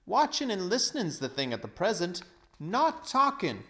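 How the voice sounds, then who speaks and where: A working-class storybook cabby, played by a man, high-pitched and loud. He is blunt and down-to-earth, dropping his g's as he tells people off. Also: huge pitch swings, normal speed, full character voice. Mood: exasperated. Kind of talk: storybook character voice.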